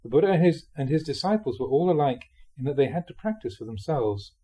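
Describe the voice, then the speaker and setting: A man reading Buddhist teachings aloud like a monk giving a dharma talk, with measured, emphatic phrasing. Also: on the high side, expressive, warm tone, breathy edge.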